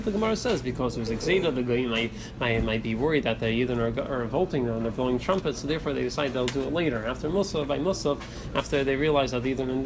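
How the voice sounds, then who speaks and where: A man leading a religious study class, haltingly reading and explaining texts, repeating words as he goes in informal discussion. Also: mid-range voice. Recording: noisy room recording.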